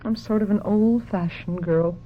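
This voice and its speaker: A woman's voice: medium pitch, moderate pace, background noise, lightly acted. A woman playing a naive, weary young actress who tells her troubled story earnestly in a radio mystery drama. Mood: anxious, earnest. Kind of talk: dramatic radio mystery acting with first-person narration. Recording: dull lo-fi radio drama, music behind.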